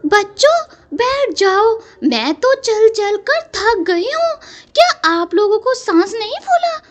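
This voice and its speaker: Sly female cartoon fox, acted by a woman, very high pitch, lively swoops, coaxing, scheming. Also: takes it slowly, exaggerated voice. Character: cunning scheming female fox, sweet-talking auntie to cubs.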